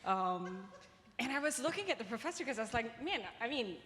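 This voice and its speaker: A woman's voice: a little high, big pitch swings, fairly quick, a bit bright, slight background noise. Woman panelist in a reverberant hall. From Singapore. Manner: conversational, emphatic, frequent "like" and "you know". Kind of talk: casual panel discussion with anecdotes. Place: large echoey event space. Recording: reverberant hall, live event.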